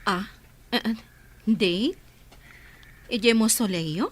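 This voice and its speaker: A woman's voice: medium pitch, drawn-out words. A woman playing a kindly, playful motherly figure in a radio drama, with an Ilocano accent. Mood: friendly, teasing.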